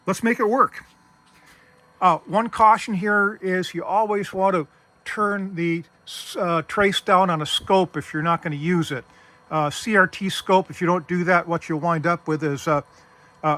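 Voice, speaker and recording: Bright, higher-pitched man giving a hands-on demo. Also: normal speed. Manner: methodical, step-by-step explaining. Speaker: host demonstrating vintage radio gear. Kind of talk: casual hands-on technical demonstration.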